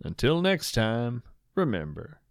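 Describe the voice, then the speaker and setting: A man hosting a podcast, reading scripted narration in an expressive, folksy manner. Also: mid-range voice, a little slow, gravelly, dry recording, clean studio sound. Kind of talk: scripted narration and credits read. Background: plucked string music.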